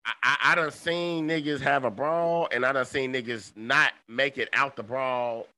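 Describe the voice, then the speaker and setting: A man's voice: medium pitch, steady tone, normal speed, sharp tone. A man in his thirties co-hosting a podcast, telling rambling, profane stories with lots of fillers. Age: 30s. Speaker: podcast co-host. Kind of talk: casual chat.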